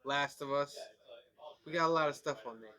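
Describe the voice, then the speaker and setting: A man co-hosting a casual review chat, with a stuffy, nasal voice from allergies and a relaxed, chatty manner full of "um"s. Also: on the high side, moderate pace, over a noisy crowd. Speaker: podcast co-host.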